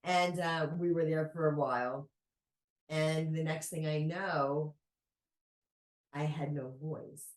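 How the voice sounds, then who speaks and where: A woman guest on a podcast, telling a personal story at an unhurried, reflective pace. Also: low voice, fairly even intonation. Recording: roomy echo.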